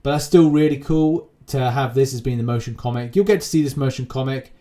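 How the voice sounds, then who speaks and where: A man's voice: mid-range voice, brisk, dark tone. Male gaming channel host, informal and unscripted, talking in loose, repetitive, rambling commentary.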